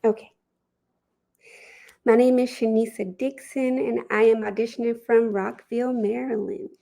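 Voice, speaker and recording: A woman's voice: on the high side, steady tone, normal speed, gravelly, mellow. A woman auditioning as a voice performer, chatting casually and politely.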